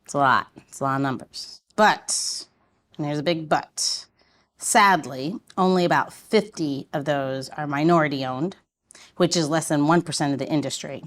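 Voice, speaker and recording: A woman's voice: deep voice, moderate pace. A woman hosting a podcast, introducing the topic and guest in a chatty, conversational style. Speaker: podcast host.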